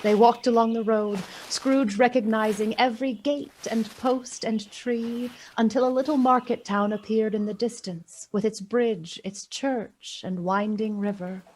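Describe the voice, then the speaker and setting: A woman playing the storyteller of a Victorian ghost tale, with measured, literary, expressive delivery in a radio play. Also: fairly high pitch, poor audio. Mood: bright, delighted. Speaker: narrator.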